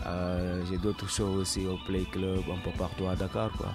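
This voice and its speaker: A man, a musician from Senegal, chatting casually in a radio studio, relaxed and rambling with fillers. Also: sits low, normal speed, over music. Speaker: musician guest. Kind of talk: casual interview talk.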